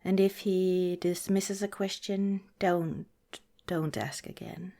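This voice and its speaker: A woman playing a protective vampire girlfriend in an ASMR roleplay, speaking softly and deliberately, partly in a whisper, earnest and cautioning. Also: on the low side, steady tone. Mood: cautioning, serious. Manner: soft, deliberate, breathy whispering in places.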